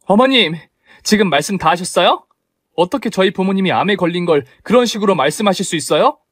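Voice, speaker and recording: A young wife pushed too far, as a man plays her in a raised voice. She speaks up indignantly and pleads to be left alone, with her voice lifted above its usual pitch. The recording is close and dry. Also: expressive, moderate pace, no echo, close to an everyday voice.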